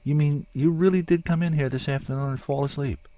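A man's voice: on the high side, fairly even intonation, a little fast, dark tone, no echo, dull audio, voice pushed up, lightly acted. A man voicing a skeptical, brusque male police captain in an audiobook, blunt and interrogating. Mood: suspicious. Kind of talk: audiobook character voice.

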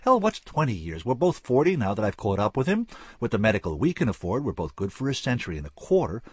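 A tough, indomitable woman of forty, as a male audiobook narrator puts her on, forceful and talking fast in a lively, swooping voice pitched well above his own. The husky grain stays under it, and the read is dry and close. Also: no echo. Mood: insistent. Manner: rapid insistent chatter, rising to fury.

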